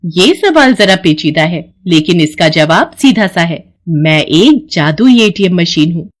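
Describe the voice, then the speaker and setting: A woman's voice: on the low side, big pitch swings, unhurried. A woman voicing a sly, playful magical cash machine that talks, teasing and theatrical, in a children's moral story. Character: talking magical ATM machine, female, playful, sly and fickle. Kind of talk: animated moral story dialogue.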